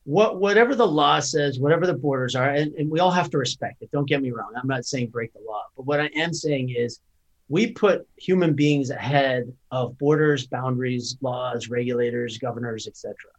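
A man's voice: mid-range voice, moderate pace, low-quality recording. A middle-aged man from Canada with a Canadian accent, a podcast guest explaining his views at length in an earnest way with frequent restarts. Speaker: guest, cannabis company founder. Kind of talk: casual podcast conversation.

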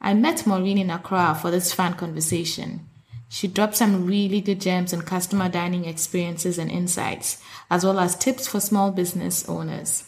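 A woman hosting a food podcast, reading a scripted intro smoothly and then chatting with her guest. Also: lowish voice, fairly even intonation, normal speed, darkish tone. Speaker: podcast host. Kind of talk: scripted intro and casual interview.

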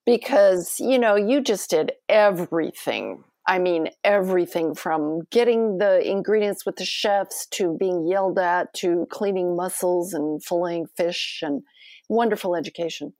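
A middle-aged American woman, a cookbook author and cooking teacher, telling lively self-deprecating stories in a soft voice during an interview. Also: on the low side, a little slow, no echo. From United States. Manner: animated storytelling, self-deprecating. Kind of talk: conversational interview.

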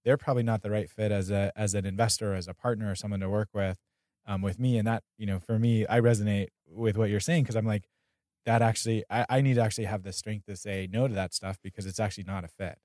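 A man hosting a casual podcast interview, speaking haltingly and repeating words as he thinks. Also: lowish voice, fairly even intonation, darkish tone, dry recording, clean studio sound.

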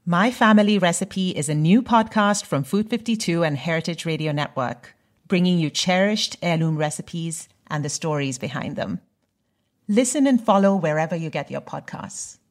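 A woman's voice: fairly low pitch, expressive, moderate pace. A woman reading a scripted promo for a podcast. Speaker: promo announcer.

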